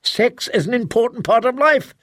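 A man's voice: very high-pitched, animated, normal speed, fairly bright tone, no room sound, clean studio sound, voice pushed up, exaggerated voice. A man voicing an angry, defensive novelist arguing with his wife in an audiobook. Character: defensive novelist husband, middle-aged man. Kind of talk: audiobook character voice.

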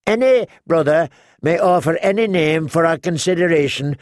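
A man doing a formal, measured knight. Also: on the high side, expressive, no echo, clean studio sound, pitched above the performer's own voice, lightly acted. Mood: firm.

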